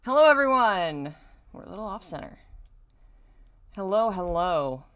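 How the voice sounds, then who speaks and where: A woman's voice: mid-range voice, big pitch swings, a little slow, a bit bright. A woman hosting a casual livestream, talking in a rambling way and often interrupting herself. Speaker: livestream host. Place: indoors in a dim room.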